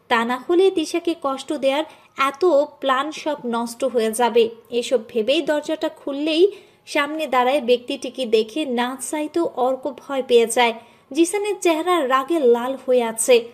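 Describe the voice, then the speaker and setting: A woman's voice: high voice, moderate pace. A woman reading a scripted dramatic story as its narrator.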